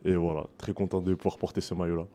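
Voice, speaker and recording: A man from France introducing himself as a basketball player, calm and hesitant. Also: deep voice, quick pace, heavy background noise. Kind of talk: self-introduction.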